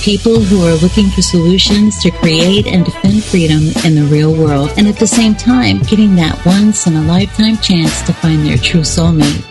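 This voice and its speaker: A woman's voice: lowish voice, normal speed, few pauses. A woman reading a radio ad in a sing-song, upbeat way. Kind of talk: sung-spoken radio ad. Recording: hip hop music bed.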